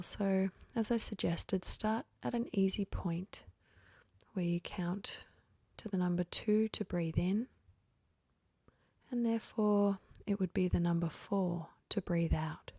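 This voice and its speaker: Slow, breathy woman guiding sleep meditation. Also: mid-range voice, no room sound, studio quality. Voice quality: breathy, whispery, half-voiced. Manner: gentle, unhurried, with long pauses between phrases. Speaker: guided meditation instructor. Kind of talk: guided sleep meditation, soothing instruction.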